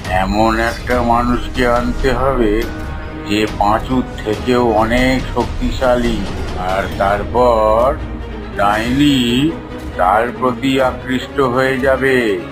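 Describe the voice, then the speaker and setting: A man's voice: medium pitch, fairly even intonation, takes it slowly, over music, voice pushed down, natural voice. A man voicing a grave village exorcist in a cartoon, giving counsel in weighty pronouncements. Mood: grave, ominous.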